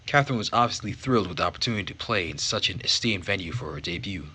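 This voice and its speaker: A man's voice: low-pitched, lively intonation, quick pace, pushed and bright, slightly rough. A man narrating a visual novel and commenting casually as he plays. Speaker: let's player. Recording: faint background noise.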